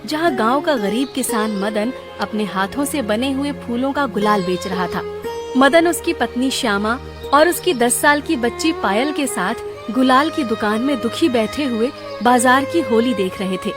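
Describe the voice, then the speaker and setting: Female storyteller for animated village tales, high voice, scripted narration over background music. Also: moderate pace.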